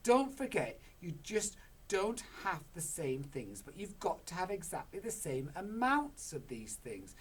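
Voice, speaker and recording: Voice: mid-range voice, big pitch swings, a little slow, slight background noise, pitched above the performer's own voice. A storyteller voicing a worried, nagging mother who keeps doubting and scolding. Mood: fretful. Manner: fretful, scolding.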